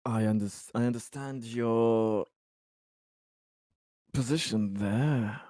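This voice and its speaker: Dude roleplaying at a tabletop game, slow talker, dry close sound. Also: male voice, medium pitch, steady tone, warm tone, dry recording. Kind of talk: casual in-character roleplay.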